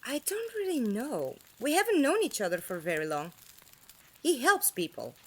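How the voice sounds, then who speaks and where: A woman plays a plucky young traveller with a high, very animated voice. She speaks frankly and informally, with a kind, reassuring way, as in an audio drama. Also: moderate pace, breathy edge, exaggerated voice. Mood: candid, uncertain.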